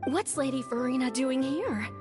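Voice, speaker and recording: High-voiced eager young troupe member. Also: female voice, animated, normal speed, over music. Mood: surprised, eager. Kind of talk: video game voice acting.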